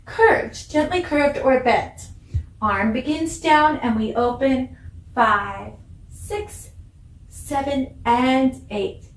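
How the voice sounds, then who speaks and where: Woman, children's dance instructor, higher-pitched voice, unhurried, encouraging, recorded at a distance in an echoey room. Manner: encouraging, deliberate, demonstrative; mixes English with simple Spanish phrases. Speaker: dance teacher.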